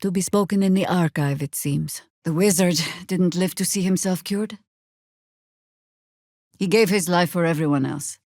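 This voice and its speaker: A woman voicing a battle-weary, middle-aged druid in a fantasy video game, dry and wry, speaking in measured, formal phrases. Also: on the low side, darkish tone, dry recording, lightly acted. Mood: resolute. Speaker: video game companion character.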